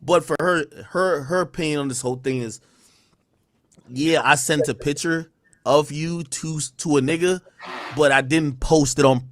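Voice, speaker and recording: A man rants on his livestream, defensive and rambling through heavy slang. His voice sits a little high for a man, and the mic sounds close and dry, with no room around it. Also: moderate pace, no echo. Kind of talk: livestream rant.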